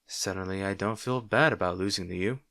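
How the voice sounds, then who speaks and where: A man plays a supervillain rival with a fairly low voice in a very dry recording. He speaks straight to the listener as in a roleplay audio drama. He is wry and sardonic, yet hesitant, insecure and secretly fond of his nemesis. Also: a little fast, creaky, sharp tone, no echo, exaggerated voice. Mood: incredulous.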